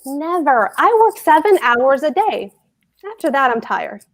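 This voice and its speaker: A pompous government clerk played by a woman lays down the rules of duty in a higher-pitched voice. The delivery is emphatic and declamatory, as in a stage play read aloud. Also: big pitch swings, measured pace, exaggerated voice. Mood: smug. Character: pompous, dutiful male government clerk and husband.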